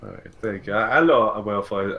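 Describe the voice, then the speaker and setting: A man hosting a casual video game podcast, talking in a halting, rambling way and often repeating his words. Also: lowish voice, gravelly, muffled recording. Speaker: podcast host.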